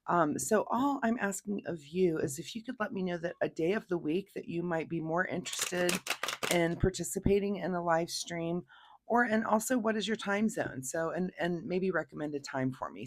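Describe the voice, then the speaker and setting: A woman with a hoarse voice from laryngitis, giving a chatty, rambling tarot reading to her viewers. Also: low-pitched. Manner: chatty, rambling, laughs easily. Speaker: tarot reader. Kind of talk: casual tarot reading to camera.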